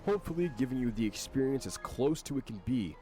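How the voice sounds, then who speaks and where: A man reading scripted promotional narration for a game trailer in a measured, announcer-like way. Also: mid-range voice, animated, over music.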